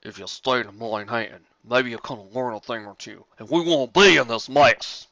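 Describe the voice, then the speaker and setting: A reader voicing a big angry farm stallion with a Southern accent who growls and yells. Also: mid-range voice, lively intonation, moderate pace, no room sound, muffled recording. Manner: growling, yelling.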